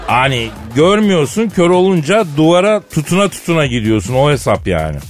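Male radio co-host, lively swooping intonation, colloquial teasing banter, faint music underneath. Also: on the high side, fairly quick, a faint crowd. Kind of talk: casual comic banter. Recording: music bed behind the voice.

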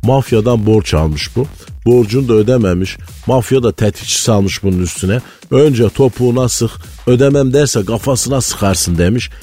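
A man's voice: medium pitch, moderate pace, warm tone, lightly acted. A man playing a pious, conspiracy-minded provincial economy guru in radio comedy, earnest and rambling, with a Malatya accent from eastern Anatolia. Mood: deadpan storytelling. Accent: Malatya, eastern Anatolian Turkish. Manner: folksy, earnest, rambling anecdotes. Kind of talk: comic radio character monologue. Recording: studio voice over background music.

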